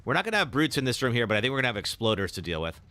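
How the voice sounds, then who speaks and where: Chatty man with slightly raspy voice. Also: medium pitch, very animated, racing. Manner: chatty, reacts with sudden loud exclamations; filler-heavy, answers chat. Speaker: streamer. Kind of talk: live gameplay commentary.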